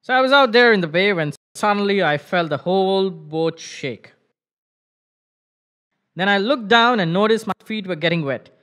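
A man voicing an elderly fisherman grandfather in a children's puppet show, with a slow, drawn-out storytelling manner. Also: high voice, lively intonation, fairly bright tone.

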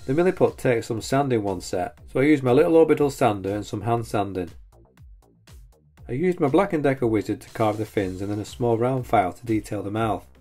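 Guy in his 40s narrating his woodworking build, quick and plain, a bit hard on himself, faint music behind. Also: medium pitch, expressive, mellow. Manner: plain, matter-of-fact, self-critical. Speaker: woodworking channel host. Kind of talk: scripted voice-over narration.

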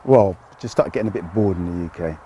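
A middle-aged British man, a fish farmer, explaining his work outdoors in a relaxed, hesitant way. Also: sits low, quick pace, slight background noise. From United Kingdom. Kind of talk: casual interview answers.

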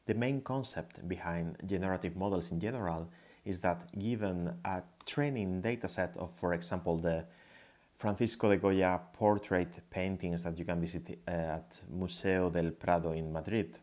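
Male presenter, low pitch, native Spanish speaker with Spanish accent, explanatory slide-talk delivery. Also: normal speed, a little mellow. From Spain. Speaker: guest lecturer, tech founder.

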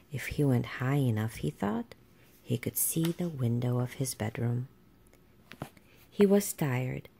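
Low, slow, creaky woman reading a storybook. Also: warm tone. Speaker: storybook reader. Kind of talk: read-aloud children's storybook narration.

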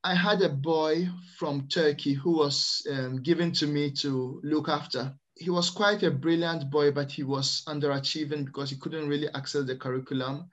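Higher-voiced man, measured and hesitant. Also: steady tone, a bit bright, dull audio. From Ghana, the Netherlands and France. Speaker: interview guest, early years teacher. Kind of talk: personal storytelling in an interview. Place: small plain room indoors, on a video call. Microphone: computer microphone on a video call.

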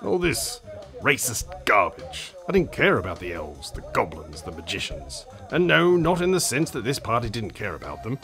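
An actor playing a weary male politician in his fifties who talks gruffly and swears often. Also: medium pitch, huge pitch swings, moderate pace, bright, forceful tone, soft background music, heavily acted. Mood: rueful. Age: 50s. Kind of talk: radio comedy acting.

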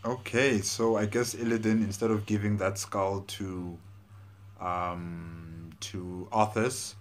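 A man in his thirties giving casual, halting, thoughtful commentary as a video host, indoors on a lapel mic. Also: fairly low pitch, steady tone. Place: small room indoors.

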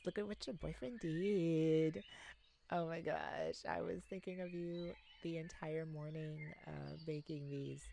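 A woman's voice: sits low, fairly even intonation, measured pace, warm tone. A woman playing a silly, affectionate, giggly and bashful young boyfriend in an ASMR romance roleplay. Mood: tender. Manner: giggly, bashful, hesitant, gushing. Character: silly, playful, affectionate young boyfriend surprising his partner. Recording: clearly noisy, ambient sounds behind.

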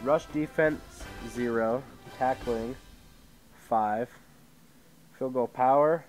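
A teenage boy from Idaho, sick with a sore throat, giving rambling casual commentary at home while he reads out game settings. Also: mid-range voice. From Idaho, USA. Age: teens. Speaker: gaming YouTuber. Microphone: headset mic with tangled cords.

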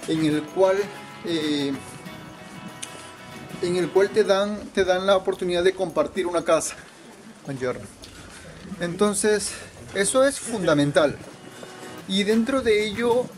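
A man in his twenties giving informal advice to camera, speaking instructively and repeating phrases for emphasis. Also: high voice. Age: 20s. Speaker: travel vlogger and guide. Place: outdoors in city square and narrow streets. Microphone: camera at arm's length.

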